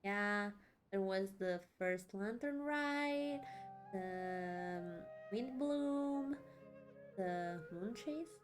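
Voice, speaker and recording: A woman's voice: medium pitch, animated, drawn-out words. A woman streamer giving casual let's-play commentary, chatty and reacting aloud in short asides. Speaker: streamer playing a game. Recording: game music behind.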